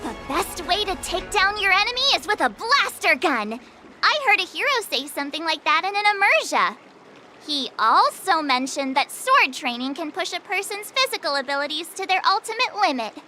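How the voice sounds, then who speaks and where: A woman voicing an excitable, boastful teenage girl apprentice in an English video game, chirpy, playful and dramatic. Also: very high-pitched, normal speed, soft background music, heavily acted. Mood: cheerfully confident.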